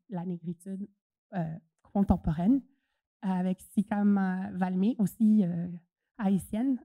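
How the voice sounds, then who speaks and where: A woman's voice: medium pitch, dark tone, dry recording. A woman giving a conference presentation, chatty and full of hesitations. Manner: chatty, hesitant with many fillers. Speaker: conference speaker. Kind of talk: presentation talk.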